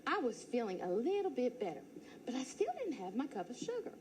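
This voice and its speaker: Woman teacher, storybook read-aloud, lively melodic voice, playful. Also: on the high side, fairly quick, slight background noise. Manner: expressive, playful first-person storytelling.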